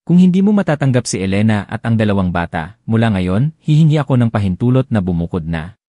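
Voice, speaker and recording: Angry young husband standing up to his mom, as a man does the voice, low mellow tone, firm and loud. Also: quick pace, no echo. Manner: forceful, shouting, then calm but firm.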